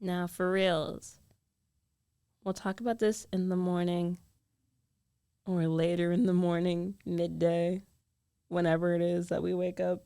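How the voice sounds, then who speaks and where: A woman playing a jealous, lovestruck young female roommate in an intimate ASMR roleplay, guarded yet tender, speaking with hesitant, emotional pauses. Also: lowish voice, little pitch movement, mellow, smooth, no echo, clean studio sound. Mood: gentle, sleepy.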